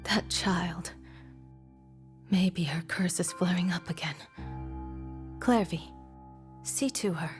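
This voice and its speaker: A woman's voice: lowish voice, slow pace, long pauses, music in the background. A woman voicing a stern, controlling, coolly soothing orphanage mother in a video game. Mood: cool, commanding.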